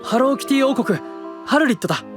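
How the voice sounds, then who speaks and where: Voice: sits high, lively intonation, fast talker, over music. An actor playing an earnest, modest, boyish young knight with a hesitant, sincere manner in an anime-style voice drama. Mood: proud.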